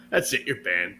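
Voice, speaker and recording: A man hosting a loose, profane podcast chat, his voice bright and forceful with a lively, swooping pitch. He rambles and jokes. A faint hum sits under the recording. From Texas. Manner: rambling, joking, quick to laugh. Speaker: podcast host. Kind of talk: casual profane banter.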